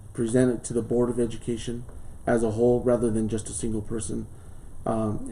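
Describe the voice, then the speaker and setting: A man in his late thirties, a school board candidate from southern Colorado, reading a formal speech and answering questions earnestly, with pauses and fillers, in a small studio. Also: mid-range voice, dark tone, rough recording. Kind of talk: prepared campaign speech and interview answers.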